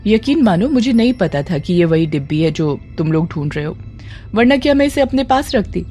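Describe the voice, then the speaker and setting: A woman's voice: on the low side, sing-song, a little fast, warm tone, soft background music. A woman voicing an evasive young woman who feigns innocence in an audio horror drama, breezy and defensive in manner. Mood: innocent, defensive. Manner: nervous when cornered, airily dismissive, over-explaining.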